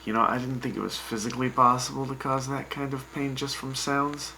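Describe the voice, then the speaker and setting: A man doing a wry, gravelly wasteland radio host. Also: medium pitch, flat delivery, fairly quick, pushed and bright, a little hiss or hum. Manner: wry, sarcastic banter.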